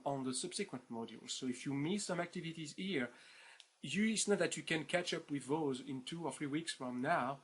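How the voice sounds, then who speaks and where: A man's voice: a little high, slightly rough. A man with a French accent giving a recorded introduction to an online course. His talk is informal and playful, full of fillers, repeats, and "right?" after his points. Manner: chatty, informal, many fillers and repeated words; keeps asking "right?" for agreement.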